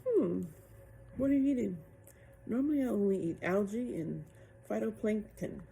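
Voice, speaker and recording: A woman's voice: mid-range voice, animated, normal speed, creaky, mellow, slight background noise, low-quality recording. A woman voicing a tiny, prim and proud little mermaid in a children's storybook. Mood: polite.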